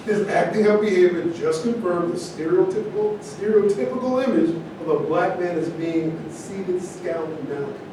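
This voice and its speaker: A man's voice: expressive, moderate pace, hardly any pauses. A man acting an opinionated, provocative character in a stage play reading, arguing in a declamatory way. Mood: combative. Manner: argumentative, declamatory. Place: echoey room at a live staged reading. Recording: distant mic, very echoey room, noisy rumble.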